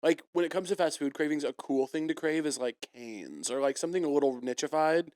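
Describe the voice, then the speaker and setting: Playful young man with lively pitch. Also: no room sound, studio quality. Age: 20s. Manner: playful teasing, quick banter. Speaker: podcast host. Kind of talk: casual chat. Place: home room.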